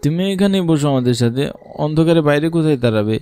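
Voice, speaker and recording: A man's voice: a little high, animated, rapid-fire, warm tone, smooth, natural voice. A man voicing a scheming but easily alarmed village schoolboy in a horror cartoon. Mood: calm, scheming. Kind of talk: dubbed horror cartoon dialogue. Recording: music under the voice.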